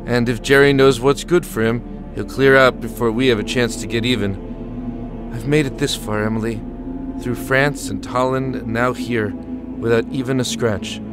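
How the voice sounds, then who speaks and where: A man's voice: mid-range voice, fairly even intonation, moderate pace, natural voice. A man playing a weary, affectionate army lieutenant reading out his letter home in an audio drama, tender and stoic. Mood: reassuring, loving. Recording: music under the voice.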